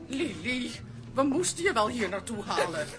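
An actress playing a commanding, solemn magical sister with theatrical delivery in a children's radio play. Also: female voice, a little high, very animated, normal speed. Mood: scolding, alarmed. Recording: noisy old radio play audio.

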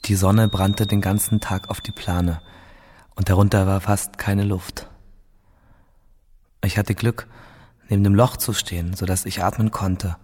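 Doomed prisoner, radio play monologue, deep register, rough-edged breathy tone, grave, haunted, subdued. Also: male voice, flat delivery, unhurried, pauses often, a little mellow. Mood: weary, grave. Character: male captive awaiting execution, parched, grave, haunted survivor. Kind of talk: first-person radio drama monologue.

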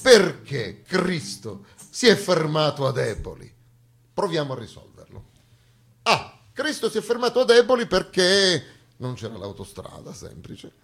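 A man delivers a theatrical comic monologue with emphatic, ironic phrasing and dramatic pauses. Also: a little high, sing-song, vocal fry, husky. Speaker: stage actor performing a satirical monologue.

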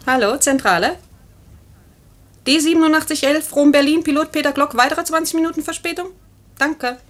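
An actress playing a brisk, efficient and pert telephone operator in 1950s German radio drama style. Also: female voice, sits high, a bit bright. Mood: businesslike. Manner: clipped, businesslike on calls, pert in asides.

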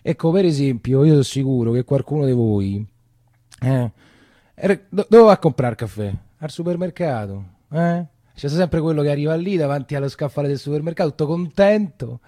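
An actor playing a weary, chatty Roman coffee-shop keeper, rambling and repeating himself in a colloquial theatrical monologue with a Roman accent. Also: male voice, fairly high pitch, animated, moderate pace, dark tone, dry recording, clean studio sound. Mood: chatty, grumbling. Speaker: stage actor.

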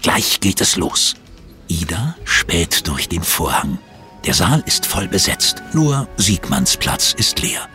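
A man narrating a children's audio drama in an expressive, suspenseful storytelling style. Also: low-pitched, slow, faint music behind.

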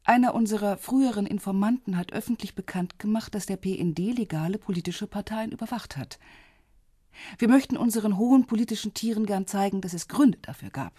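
An actress playing a composed, businesslike intelligence officer in a radio drama, speaking in a measured, matter-of-fact, discreet way with a husky voice. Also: female voice, mid-range voice, mellow, no room sound, studio quality, close to an everyday voice. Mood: guarded.

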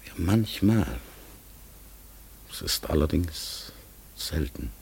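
An actor in a German radio play voicing a mysterious, pensive talking raven, husky and gravelly, speaking formally and haltingly with many self-corrections. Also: male voice, low-pitched, darkish tone, exaggerated voice. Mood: musing, uncertain.

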